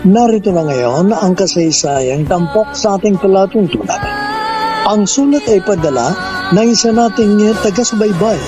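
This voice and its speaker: A woman's voice: mid-range voice, animated, moderate pace, over loud music. A woman with a Filipino accent making a scripted announcement introducing a radio drama.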